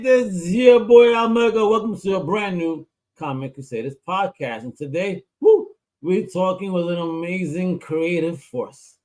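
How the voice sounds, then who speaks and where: A man hosting a podcast in a hype, energetic, slangy way. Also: high-pitched. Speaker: podcast host. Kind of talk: casual chat.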